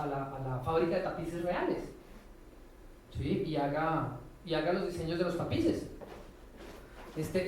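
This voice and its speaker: A Colombian man giving an informal lecture as a professor, speaking in a colloquial, slangy, irreverent way and often checking in with short tag questions. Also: fairly high pitch. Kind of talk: informal conference talk. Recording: echoey room, distant mic, faint noise.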